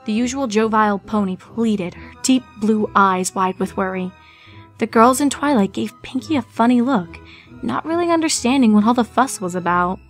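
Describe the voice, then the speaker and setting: A woman's voice: a little high, moderate pace. A woman reading scripted fan fiction as a story narrator. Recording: music behind the voice.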